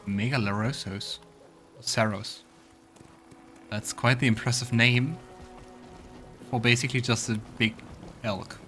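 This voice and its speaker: A man's voice: mid-range voice, steady tone, a little slow, long pauses, pushed and bright. A man hosting a let's play, commenting casually on the game, muttering reactions and reading game text aloud. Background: faint game music.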